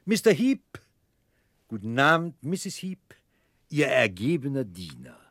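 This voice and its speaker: An actor playing a pompous, self-important middle-aged gentleman debtor in a German radio play, with grandiloquent phrases broken by hesitations. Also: mid-range voice, sing-song, takes it slowly, slightly rough, no room sound, studio quality, full character voice. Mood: grandiose.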